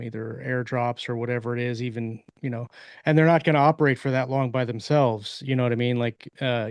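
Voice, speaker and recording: A man's voice: mid-range voice, dry recording, studio quality. A man co-hosting a casual podcast, thinking aloud with frequent ums and uhs as he explains. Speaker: podcast co-host, author.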